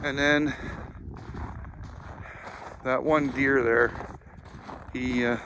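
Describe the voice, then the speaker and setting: A man's voice: medium pitch, fairly even intonation, drawn-out words. A man giving a casual, rambling, matter-of-fact tour of his yard, unscripted and easygoing. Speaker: homeowner giving a tour of his Christmas light display. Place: outdoors on a rural acreage. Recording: noisy outdoor amateur recording.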